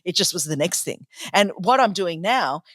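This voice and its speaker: Animated Australian woman with dry sound. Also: lowish voice, normal speed, no echo. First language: Greek. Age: middle-aged. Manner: animated and emphatic, acts out quoted voices. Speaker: podcast host. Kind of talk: conversational interview storytelling.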